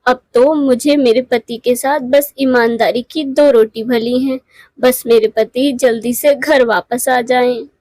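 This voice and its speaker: A woman's voice: a little high, steady tone, slow pace, darkish tone, no echo. A woman voicing a boastful, condescending rich elder-sister bird in a moral cartoon. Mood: remorseful, pleading. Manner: condescending, showy. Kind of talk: Hindi moral cartoon voice acting.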